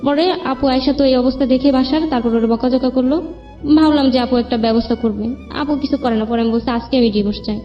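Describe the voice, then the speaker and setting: Woman, Bangladeshi accent, higher pitch, plain rambling personal storytelling, interview guest, dulled recording, soft music underneath. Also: fairly even intonation, fast talker. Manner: rambling, plain-spoken narration with quoted dialogue. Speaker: guest telling her life story. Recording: band-limited dull sound with background music.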